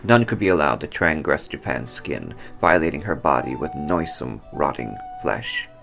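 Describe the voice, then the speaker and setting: A man narrating a scripted story dramatically, with solemn, deliberate emphasis. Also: a bass voice, expressive, gravelly, music in the background. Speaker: author reading own story.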